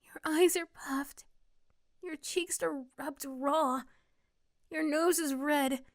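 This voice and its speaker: Woman acting bossy, caring, high-voiced tsundere girlfriend type. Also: steady tone, slow pace, no room sound, clean studio sound. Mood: concerned, coaxing. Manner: insistent, fussing, scolding yet tender. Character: bossy, caring tsundere young woman, teasing and protective friend. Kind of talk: ASMR roleplay acting.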